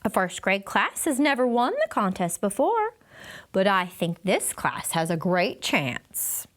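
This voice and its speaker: A woman voicing a weary, patient male first-grade teacher in a children's storybook, measured and explanatory. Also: mid-range voice, wildly swooping pitch. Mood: encouraging. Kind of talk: children's storybook read-aloud.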